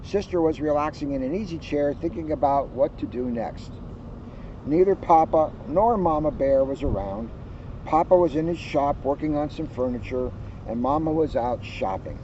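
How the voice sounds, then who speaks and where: A man reading a children's book aloud in an animated, emphatic way, adding joking asides. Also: fairly high pitch, moderate pace. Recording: muffled, outdoors with vehicle noise. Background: outdoor ambience, passing cars.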